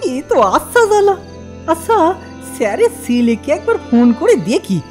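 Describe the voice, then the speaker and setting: Lady doing a cheeky young thief lad for a cartoon, really sing-song and high, bit of music behind. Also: normal speed. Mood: panicked. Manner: animated, rustic colloquial speech. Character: cheeky young male petty thief, impudent and good-hearted. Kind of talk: comedy cartoon voice acting.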